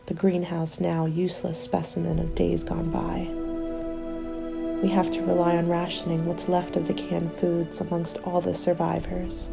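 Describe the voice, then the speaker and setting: A woman playing a weary survivor of a zombie winter in a soft, breathy, confiding ASMR roleplay. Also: fairly low pitch.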